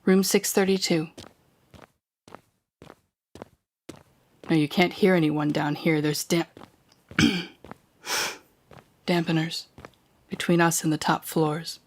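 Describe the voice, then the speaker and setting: A woman playing a smug, controlling telepathic villain in an audio roleplay, taunting the listener in a deliberate, condescending manner. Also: low voice, little pitch movement.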